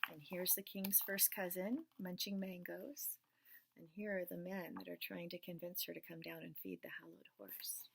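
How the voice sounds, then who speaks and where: A woman reading a picture book aloud, gently pointing out the pictures as she goes. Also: on the low side, steady tone, normal speed, creaky, a little hiss or hum. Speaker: storyteller.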